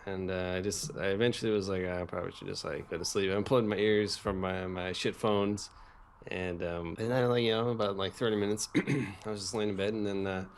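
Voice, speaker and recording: A man casually telling crude, vulgar stories in a halting, repetitive, filler-heavy way. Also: sits low, fairly even intonation, slight background noise. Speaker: co-host of a visual novel rant show. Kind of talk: casual rambling crude storytelling.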